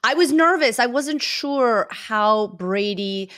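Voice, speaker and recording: A woman's voice: a little high, lively intonation, slow, fairly bright tone, no echo, studio quality. A woman hosting a sports podcast, chatting casually about football in an informal, upbeat manner.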